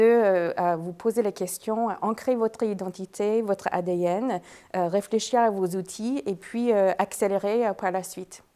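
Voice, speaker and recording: American woman with a mellow, hesitant voice. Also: medium pitch. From United States. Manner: hesitant, many fillers. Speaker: business consultant and coach. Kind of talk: panel discussion. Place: studio.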